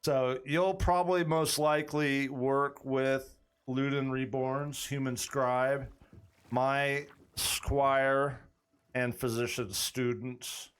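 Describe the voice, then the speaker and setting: A man's voice: medium pitch, flat delivery, takes it slowly, smooth. Man thinking aloud as game master. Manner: rambling, thinks aloud with frequent restarts. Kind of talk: running a tabletop campaign stream, chatting with viewers.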